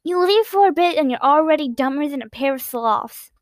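A woman's voice: high voice, lively intonation, fairly quick, no echo, studio quality, voice pushed up. A woman voicing a fiery, emotional young woman who speaks with indignation.

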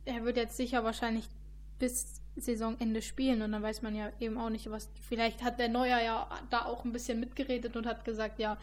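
A woman's voice: on the high side, little pitch movement, a little fast, slight background noise. A woman co-hosting a podcast, giving matter-of-fact opinions in a casual football chat. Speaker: podcast co-host.

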